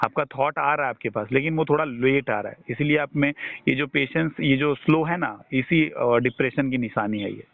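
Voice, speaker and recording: Male health advisor, mid-pitched voice, informal and reassuring, repeats himself to stress a point; tinny phone-call recording. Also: moderate pace, vocal fry, no room sound. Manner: reassuring, repeats words for emphasis.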